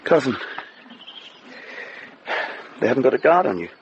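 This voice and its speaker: A man's voice: mid-range voice, normal speed, dull audio, natural voice. Guy playing a earnest young welsh squire, worried, voice swings a lot, noisy radio drama. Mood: easy concern. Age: young adult. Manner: earnest, animated. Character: young Welsh squire, dutiful, anxious and exasperated. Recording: clearly noisy background.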